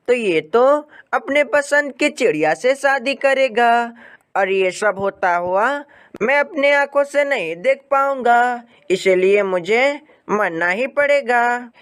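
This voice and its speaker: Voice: mid-range voice, fairly bright tone, clean tone. A narrator voicing a lovesick, insistent young female bird in a cartoon story, with a pleading manner. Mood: despairing.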